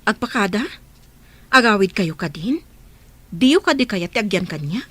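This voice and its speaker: A woman's voice: medium pitch, expressive, moderate pace, fairly bright tone, slightly rough. A woman playing a kindly, playful motherly figure in a radio drama, with an Ilocano accent. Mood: friendly, teasing.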